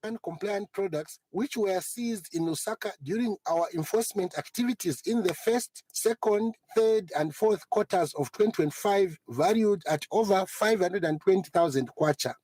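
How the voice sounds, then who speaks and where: Male, high pitch, raspy edge, formal scripted statement delivery, dry sound. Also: normal speed, few pauses, a little mellow, no echo, poor audio.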